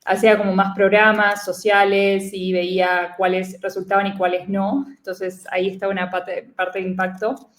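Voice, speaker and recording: A woman from Argentina, speaking with an Argentine accent as a guest investor, relaxed and full of fillers. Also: mid-range voice, moderate pace, few pauses, roomy sound. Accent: Argentine Spanish. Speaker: guest, venture capital investor. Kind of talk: casual interview talk.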